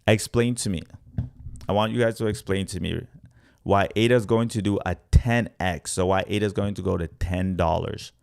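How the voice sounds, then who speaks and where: Low, creaky-voiced man teaching. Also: fairly even intonation, normal speed, a little mellow, no room sound, clean studio sound. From born in Congo, Africa. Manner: emphatic, repeats points, checks in with "right?". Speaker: host, crypto trading educator. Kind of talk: live chart commentary, explaining to viewers.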